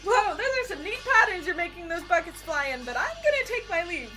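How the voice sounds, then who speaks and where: A woman playing a flippant, excitable young adventurer in an audio drama, chatty and sarcastic. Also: very high voice, brisk, faint music behind, heavily acted. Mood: flippant, nervous.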